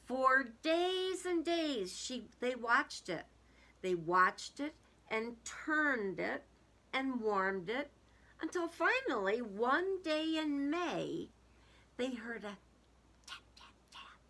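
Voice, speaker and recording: A woman reading a picture book aloud to young children in an expressive, emphatic way, with explanatory asides. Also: medium pitch, gravelly, slight background noise. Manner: deliberate, emphatic, dramatic word-by-word delivery.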